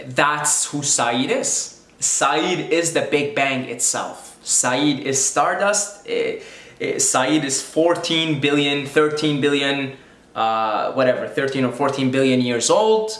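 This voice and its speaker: A man's voice: medium pitch, slow, a bit bright. Musing man in an echoey room. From Syria. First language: Arabic. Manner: musing, repeats phrases, thinks aloud. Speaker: video creator speaking on spirituality. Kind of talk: reflective spiritual monologue.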